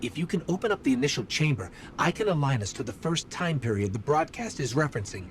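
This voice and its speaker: An actor playing a composed, helpful AI guide in a video game, giving articulate, purposeful briefings with an earnest, alert manner. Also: male voice, a little high, big pitch swings, fast talker, noisy background. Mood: focused, informative. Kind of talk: dramatic video game voice acting, mission briefing.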